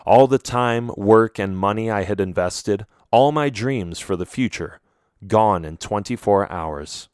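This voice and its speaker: A middle-aged man, a native Arabic speaker from Jerusalem, reads his memoir aloud in English. His voice sits fairly low and the sound is dry and close, with no room around it. The reading is steady, measured and earnest. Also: smooth, dry recording. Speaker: author narrating his memoir. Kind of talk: audiobook memoir narration.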